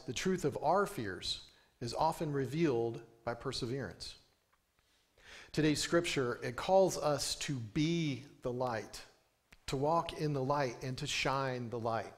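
A man's voice: mid-range voice, a little slow. A man in his thirties, a pastor giving a sermon from a pulpit microphone in a small church, telling stories in an earnest way and lifting his voice for emphasis. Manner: earnest storytelling with emphatic rising lines. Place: small church sanctuary, indoors.

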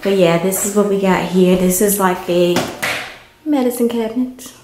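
A woman vlogger giving a casual, unhurried walkthrough of an empty apartment, filmed on a handheld camera. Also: mid-range voice, warm tone, slight background noise. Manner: unhurried, chatty, many ums. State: hot and worn out from moving.

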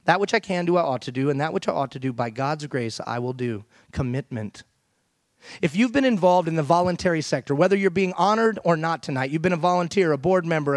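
A man's voice: fairly high pitch, no echo, studio quality. A middle-aged man from Iran giving an emphatic motivational keynote on a large theater stage, often throwing questions out to the audience. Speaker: keynote speaker. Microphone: headset mic.

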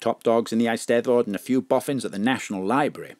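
An actor playing a furtive, fussy top civil servant, conspiratorial and animated in a comic audio drama. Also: male voice, medium pitch, fast talker, no echo, clean studio sound, lightly acted. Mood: confiding.

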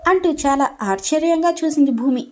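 Woman, high pitch, storyteller, scripted dramatic narration. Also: moderate pace, darkish tone.

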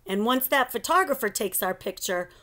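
A woman voicing an eager, boastful, excitable schoolboy in a children's storybook. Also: a little high, moderate pace. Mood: boastful, excited.

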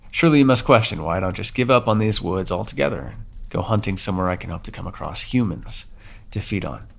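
A brooding ancient vampire, voiced by a man, speaks to his captive in a low, gravelly voice. His delivery is soft-spoken and measured, with the hushed intimacy of an ASMR roleplay. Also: expressive, exaggerated voice. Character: brooding ancient male vampire captor, solemn. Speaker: voice actor. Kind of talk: ASMR audio roleplay monologue.

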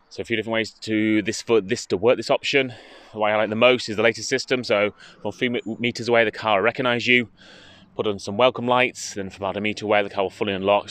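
A British man giving an informative, steady walkaround presentation as a car dealership presenter, speaking into a handheld phone outdoors. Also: lowish voice. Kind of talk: walkaround product presentation, semi-improvised commentary. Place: outdoors in a car park, partly inside a car.

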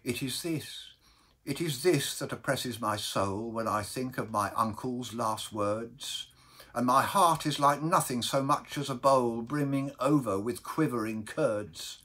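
A man voicing a meek, sorrowful baker in a storybook verse reading, telling his woe in a halting, mournful, mild way. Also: medium pitch, pushed and bright, pitched above the performer's own voice. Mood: anguished.

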